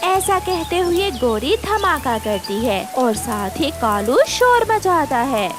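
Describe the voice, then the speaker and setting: A woman's voice: high voice, big pitch swings, slow pace, over music. A woman reading scripted narration for a children's moral story.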